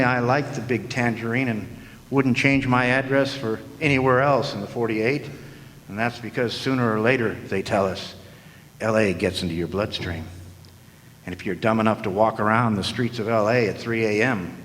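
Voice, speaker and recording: Guy acting as a cocky noir gumshoe narrating his case, deadpan radio play style, recorded in a big echoey hall. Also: mid-range voice, moderate pace, lightly acted. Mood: wry narration. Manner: deadpan, wry, slangy. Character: wisecracking hard-boiled male private detective narrating his case. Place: large hall, live stage performance. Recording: echoey hall, live stage performance.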